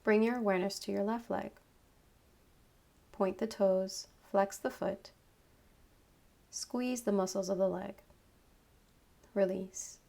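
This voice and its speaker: A woman leading a guided meditation as a yoga nidra teacher, giving calm, unhurried instructions in short phrases with pauses. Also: mid-range voice, creaky.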